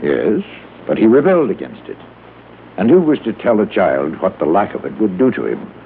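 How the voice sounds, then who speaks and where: Prim pedantic psychologist, pompous and wordy, deep swooping voice, old radio play, narrow-band sound. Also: male voice, wildly swooping pitch, moderate pace, vocal fry, exaggerated voice. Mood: earnest, lecturing. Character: prim, indignant, pedantic male psychologist pleading earnestly. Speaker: radio drama character. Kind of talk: old-time radio drama acting. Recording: narrow-band vintage radio, faint noise.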